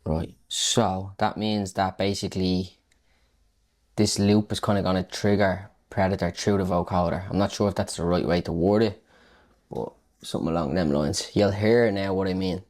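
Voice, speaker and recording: A man's voice: low-pitched, steady tone, moderate pace, a little mellow. A man giving a casual, unscripted music production tutorial, thinking aloud informally as he works. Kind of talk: casual unscripted tutorial talk while working.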